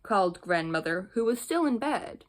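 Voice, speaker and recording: A woman's voice: medium pitch, big pitch swings, moderate pace. A woman narrating a children's bedtime story as she reads from a storybook. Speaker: storybook reader.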